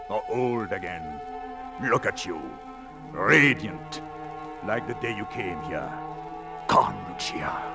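A slow, tender radio-play ghost. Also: male voice, lowish voice. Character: tender male ghost welcoming his beloved. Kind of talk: 1940s radio drama acting. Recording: vintage 1940s radio with background music.

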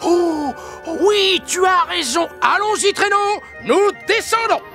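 An old, jolly cartoon Santa who dithers and exclaims like a kindly uncle. His voice sits high and swings widely in pitch. Music plays behind him. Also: expressive, takes it slowly, sharp tone, heavily acted. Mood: excited, alarmed. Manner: hesitant, exclamatory, avuncular. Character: old, jolly, flustered Santa Claus in disguise. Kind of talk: animated cartoon dub acting.

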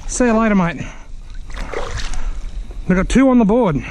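An Australian man giving chatty, excitable commentary while fishing on a riverbank, filmed on an action camera and talking to the fish he catches. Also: sits high, a little slow. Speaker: fishing vlogger. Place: outdoors on a riverbank.

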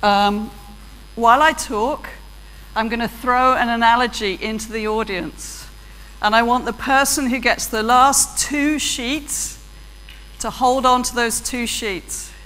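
A woman's voice: a little high, takes it slowly, pushed and bright. British woman speaking in a big hall. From Britain. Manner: chatty, self-deprecating, laughs easily. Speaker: keynote speaker. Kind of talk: conference keynote talk. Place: large auditorium stage with audience. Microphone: handheld mic. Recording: long hall reverb, faint hum.

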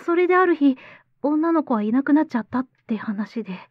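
A woman's voice: sits high, lively intonation, brisk, no room sound, narrow, tinny audio. A woman playing a determined young actress who talks earnestly and informally in a Japanese audio drama. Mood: confiding, serious. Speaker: voice actor.

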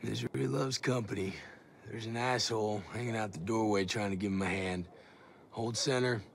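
A man playing a tough, confident American special forces soldier in a video game, talking casually with measured military pauses. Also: fairly low pitch, fairly even intonation, creaky, noisy background. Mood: gruff, laid-back. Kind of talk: video game cutscene acting.

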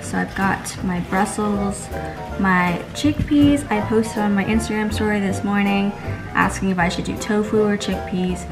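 A young woman in her twenties talks unhurriedly and chattily into a handheld camera, a bit wry and worn out. Music plays clearly underneath her voice. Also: medium pitch, unhurried, rough recording. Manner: chatty, wry, slightly tired. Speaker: lifestyle vlogger. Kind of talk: casual vlog narration. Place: car, grocery store and home kitchen. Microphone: handheld camera close to face. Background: background music.

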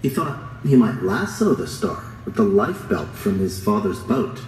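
A lower man's voice with lively, rising and falling pitch, reading a children's picture book gently. It is played back in an echoey gym and heard from across the room, with faint room noise. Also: unhurried, recorded from a distance. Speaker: read-aloud narrator.

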